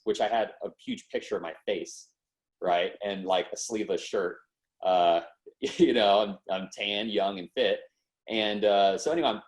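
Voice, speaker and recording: A man in his twenties, a podcast guest, telling his story in a casual, slangy way and acting out what people said. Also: fairly low pitch. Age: 20s. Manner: lively, slangy, acts out quotes.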